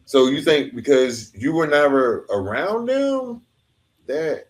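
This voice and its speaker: An American man hosting a casual podcast interview, searching haltingly for his questions. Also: on the high side, very animated. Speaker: podcast host.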